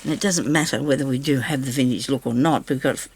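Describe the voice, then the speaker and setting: A woman with a slightly raspy voice, recovering from a sore throat, chatting casually to viewers and to herself as she crafts. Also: a bass voice, fast talker, a little mellow. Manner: chatty, talks to herself while working. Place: craft table indoors.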